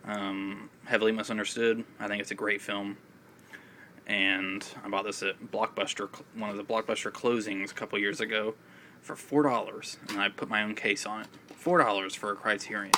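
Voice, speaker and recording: A man with a raspy voice and a cough, chatting in a casual, rambling way about films with many fillers. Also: on the low side, steady tone, normal speed, pushed and bright, a little hiss or hum. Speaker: film vlogger. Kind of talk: casual video chat. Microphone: phone.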